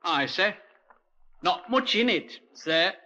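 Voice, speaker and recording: An actor playing a simple young army signaller in a 1950s radio crime drama, confessing to killing in a self-possessed, childlike, matter-of-fact way. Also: male voice, high voice, animated, slow, sharp tone, full character voice. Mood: calm, obedient. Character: simple young husky male army signaller, unrepentant killer. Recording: dull lo-fi 1950s radio recording.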